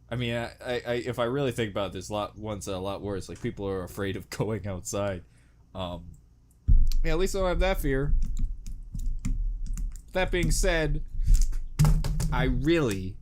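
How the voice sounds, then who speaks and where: A man's voice: medium pitch, slight background noise. A man giving casual, rambling reaction commentary with jokey, crude humour and exaggerated dread. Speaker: reaction video host.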